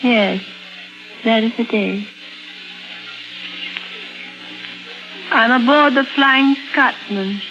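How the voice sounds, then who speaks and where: A dull old radio recording with music behind carries a fragile, tormented woman painter. Her voice sits high. She speaks haltingly and intensely, in the dramatic manner of 1950s radio suspense. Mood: entranced, subdued. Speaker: actor.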